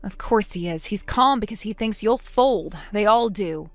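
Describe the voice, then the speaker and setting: A woman's voice: moderate pace, dry recording, faint music behind, lightly acted. A woman voicing a determined older sister who speaks firmly and steadily. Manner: firm, steady, lower-voiced.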